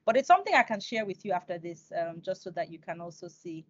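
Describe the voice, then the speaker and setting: A woman's voice: lowish voice, very animated, fast, no room sound. Young Nigerian woman hosting, dull call audio. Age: young adult. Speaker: interview host. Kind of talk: conversational interviewing. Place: indoors, video call.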